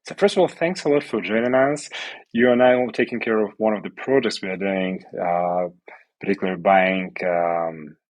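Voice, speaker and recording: A man from Ukraine in his thirties, a podcast guest speaking English as a second language and pausing to search for words. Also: deep voice, no echo, rough recording. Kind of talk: podcast interview.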